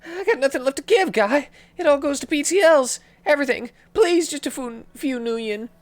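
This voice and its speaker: A man doing a frantic, shrill junkie woman. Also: lively intonation, moderate pace. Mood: desperate begging. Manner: pleading, frantic and whimpering. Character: desperate female junkie begging for drug money.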